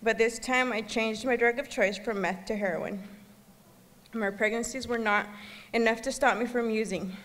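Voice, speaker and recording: Woman, 30s, from El Salvador, earnest halting speech at a podium, long hall reverb. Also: fairly high pitch, fairly even intonation, fairly bright tone. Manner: earnest, halting, occasional restarts. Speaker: gala speaker. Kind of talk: personal testimony speech. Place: gala banquet hall.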